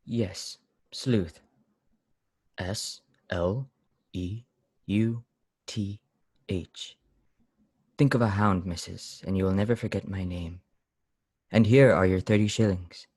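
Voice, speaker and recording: An actor plays a brooding, pious lodger in an old-time radio drama, severe and easily angered. His voice is low and solemn, with deliberate, brusque phrasing, recorded very dry. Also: a little mellow, slightly rough, no room sound, heavily acted. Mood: solemn, weary.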